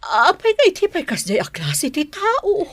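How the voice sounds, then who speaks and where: A woman's voice: high-pitched, huge pitch swings, normal speed. A woman with an Ilocano accent playing a disapproving, indignant mother in a radio drama.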